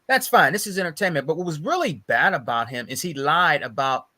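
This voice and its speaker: A man with a fairly high, bright, forward voice talks in a casual podcast chat. He tells stories informally, circling back and repeating phrases for emphasis. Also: lively intonation, fairly quick. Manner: repeats phrases for emphasis, addresses listener as bro. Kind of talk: casual chat, gossiping and storytelling.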